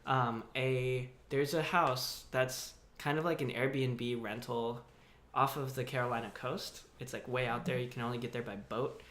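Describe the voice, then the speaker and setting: A man's voice: mid-range voice, unhurried. Laid-back young man in an echoey room. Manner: relaxed, laid-back with swearing and laughs. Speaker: podcast co-host. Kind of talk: casual news chat.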